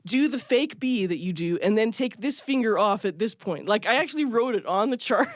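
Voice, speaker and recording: A woman's voice: on the high side, lively intonation, a little fast, no room sound, studio quality. A woman telling a personal story in a casual, candid, self-deprecating way, like an interviewee. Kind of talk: casual conversational anecdote.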